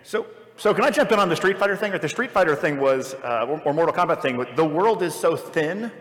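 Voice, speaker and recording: A man joins a live discussion in a conversational tone. His voice is a bit higher than average, carried by the long reverb of a hall. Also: lively intonation, a little fast, fairly bright tone. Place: large room at a convention. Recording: echoey hall, live panel recording.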